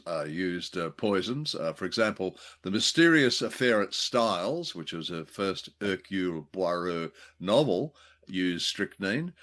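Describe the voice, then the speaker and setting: A man co-hosting a radio drama review show, chatting casually in a thoughtful, halting way with frequent pauses. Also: fairly low pitch, fairly bright tone. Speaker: co-host of an old-time radio review show.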